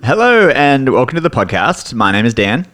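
A man co-hosting a podcast, upbeat and quick to laugh. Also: mid-range voice, big pitch swings, a bit bright, a little hiss or hum. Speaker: podcast co-host. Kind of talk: casual podcast chat.

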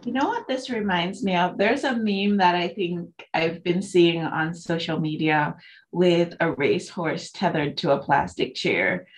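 A woman hosting a conversational interview, inquisitive and expressive as she reflects back and asks questions. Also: fairly low pitch, a little slow. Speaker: interview host.

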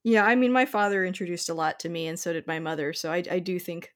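A woman's voice: fairly low pitch, fast talker. Enthusiastic woman hosting a casual film chat. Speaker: podcast host. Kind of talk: casual chat about films.

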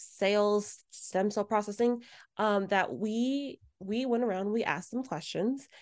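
A woman's voice: medium pitch, a little mellow. Woman cohosting a podcast, chatty, laughs a lot, casual talk. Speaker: podcast co-host.

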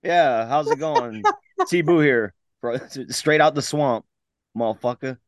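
A man's voice: mid-range voice, slow, dry recording, clean studio sound. A man from Louisiana trading crude, rowdy banter in casual podcast chat.

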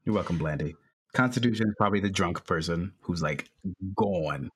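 Man, player; deep voice, matter-of-fact, faint music behind. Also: very animated, normal speed, creaky, fairly bright tone, slightly rough. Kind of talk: casual game chat.

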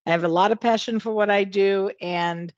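A woman's voice: medium pitch, steady tone, normal speed, darkish tone, no room sound, dull audio. Encouraging woman host, dry close sound. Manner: encouraging, affirming. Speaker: podcast host. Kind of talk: interview hosting.

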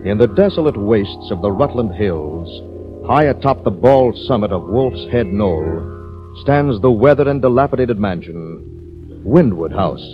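A man's voice: on the low side, animated, measured pace, music in the background. A man delivering scripted announcing and narration in an emphatic, dramatic 1940s radio style. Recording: dull old 1940s radio recording.

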